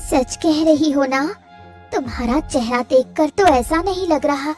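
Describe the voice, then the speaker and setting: A woman's voice: high-pitched, measured pace, darkish tone, faint music behind. A woman voicing a doting, anxious and childlike younger co-wife in a Hindi cartoon story. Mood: worried, insistent.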